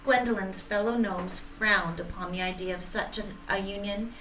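A woman's voice: medium pitch, fairly even intonation, normal speed, fairly bright tone. A middle-aged woman reading a romance story aloud, carefully but with occasional stumbles over words. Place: home room, indoors, at a desk. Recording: roomy sound, faint background noise.

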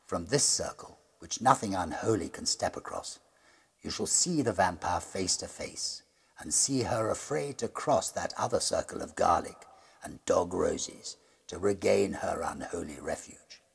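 Man playing a firm, gravelly vampire fighter. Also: low-pitched, lively intonation, unhurried, exaggerated voice. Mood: grave warning. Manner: loud, firm, commanding. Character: resolute church restorer defying a vampire.

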